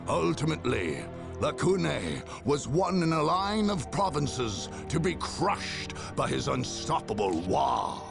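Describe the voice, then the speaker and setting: A man acting as a grave, theatrical narrator telling a sci-fi war saga in a measured, scripted game style. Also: a little high, big pitch swings, gravelly, over music, heavily acted. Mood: wry, grandiose.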